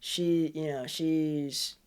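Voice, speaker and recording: Young man with a high, nasal voice. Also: steady tone, drawn-out words, a little mellow, clear, resonant tone. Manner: rambling, many fillers like "like". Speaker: guest musician. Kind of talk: casual podcast chat.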